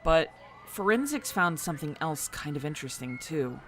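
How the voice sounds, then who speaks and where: A man's voice: fairly high pitch, big pitch swings, moderate pace, fairly bright tone. A man playing a frank, casual police officer briefing a superior in an audio drama, matter-of-fact and conversational. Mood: sober. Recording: noisy scene, siren ambience behind.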